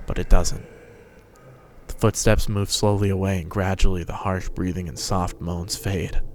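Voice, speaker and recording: A man's voice: sits low, level tone, moderate pace, darkish tone, slight background noise. A man giving a scripted, dramatic narration of a story. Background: music and sound effects.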